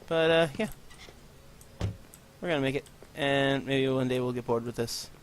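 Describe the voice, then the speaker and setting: A man of middle pitch talks through his game in a loose, rambling way, breaking off into self-mocking asides. His voice is a little congested, and he records in a bare room with no acoustic paneling. A faint hiss sits under the recording. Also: normal speed. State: congested from allergies. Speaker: gaming channel host. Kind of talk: let's play commentary.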